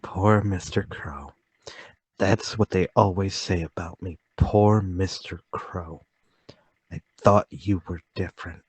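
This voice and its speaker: A man performing as a haunted, timid man pours out a confession in radio-drama style. His low voice is halting and intense, pleading to be heard, and it sits dry with little room around it. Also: darkish tone, breathy edge, no echo, exaggerated voice. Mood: self-pitying. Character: timid, troubled lonely man confessing to murder, trembling. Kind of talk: dramatic radio-play table read.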